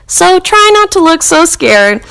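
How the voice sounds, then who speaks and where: A woman's voice: very animated, a little slow, creaky, pushed and bright, exaggerated voice. A woman playing a chirpy, naive possessed friend, casual and blithely reassuring in a comic monologue. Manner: perky, casual, high-pitched.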